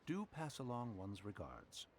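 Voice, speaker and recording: Proud immortal, animated and stately. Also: male voice, medium pitch, a little slow, a little hiss or hum. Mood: grave. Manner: stately, archaic, speaks of self as "one". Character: proud, formal immortal adeptus in human form. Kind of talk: video game voice acting.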